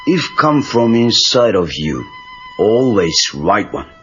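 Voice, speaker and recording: A wise old Okinawan handyman with a deep voice that swings widely in pitch. He speaks gentle, terse broken English with a Japanese accent, in an audio drama on dull cassette tape. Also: very animated, soft background music, full character voice. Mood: calm, instructive. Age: older. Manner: clipped broken English, measured.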